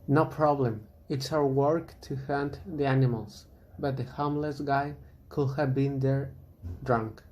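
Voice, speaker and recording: A steady, protective hunter of beasts, acted by a man. His voice is dark and mid-pitched, and he speaks in a consoling, matter-of-fact way, as in an amateur radio play. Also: a little slow, natural voice. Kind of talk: amateur radio drama acting.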